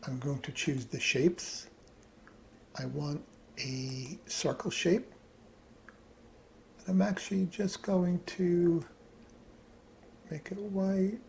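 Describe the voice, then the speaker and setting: A man's voice: on the high side, slow, vocal fry, pauses often. A man giving a casual tutorial narration, thinking aloud as he works at his computer. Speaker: hobbyist presenter. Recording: steady background noise, keyboard clicks.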